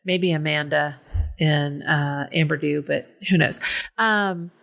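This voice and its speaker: A woman's voice: sits low, slow, gravelly, a bit bright. A woman with an Ohio accent, co-hosting a podcast in casual chat, blunt and profane with frequent laughter. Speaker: podcast co-host.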